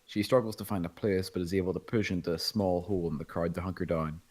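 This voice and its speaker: A man's voice: low voice, fairly even intonation, quick pace, darkish tone. A man reading stage directions as narrator at a screenplay table read.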